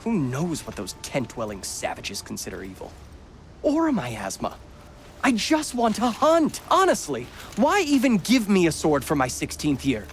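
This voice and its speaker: An actor playing a pampered, excitable teenage noble boy who longs to hunt, in a video game cutscene. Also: moderate pace, slight background noise. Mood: boastful. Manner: posh, excitable, lively pitch swings.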